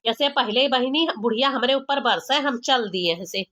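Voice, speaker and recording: A woman's voice: on the high side, expressive, normal speed, pushed and bright. A woman voicing a young village daughter-in-law with an Awadhi accent, complaining dramatically and colloquially in an amateur cartoon comedy. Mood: flustered, evasive. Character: exasperated, embarrassed young village daughter-in-law, gossipy and scolding.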